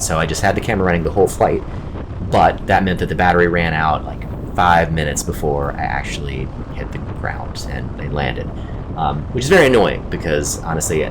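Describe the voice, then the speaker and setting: Male amateur pilot vlogger, very low voice, casual and self-critical commentary, clear background noise. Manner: reflective, self-critical, lightly amused. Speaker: amateur pilot and flight video host. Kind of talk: casual off-the-cuff narration and live reaction commentary.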